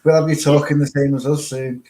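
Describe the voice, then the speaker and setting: A man's voice: a little high, flat delivery, a little fast, warm tone. A man with a northern English accent, co-hosting a live chat show and chipping in with short, teasing remarks. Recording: echoey room.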